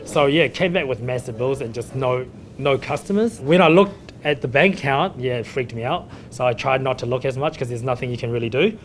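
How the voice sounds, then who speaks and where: A man in his thirties with a New Zealand accent and a mid-pitched voice talks informally, as in an interview. He peppers his conversational account with "you know". There is some rumbling noise around him. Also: brisk, hardly any pauses. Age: 30s. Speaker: chef and restaurant owner being interviewed.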